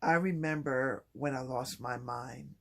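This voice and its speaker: A man talking softly and haltingly in a personal vlog, with many pauses and restarts. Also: creaky. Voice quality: low voice. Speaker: vlogger. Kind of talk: personal vlog, reflective talk.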